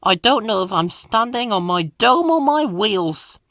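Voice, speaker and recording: Storybook little engine played by a man: male, plaintive, proud, earnest, raised high voice. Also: animated, a little slow, bright, forceful tone, no room sound, studio quality, full character voice. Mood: sad, put-upon.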